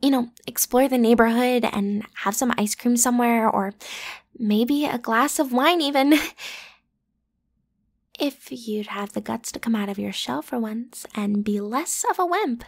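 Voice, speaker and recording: A woman's voice: on the high side, a little slow. A woman playing a bratty, playful popular student girl in an ASMR roleplay, with casual, slangy, teasing delivery. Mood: coaxing. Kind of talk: ASMR roleplay acting, one side of a conversation.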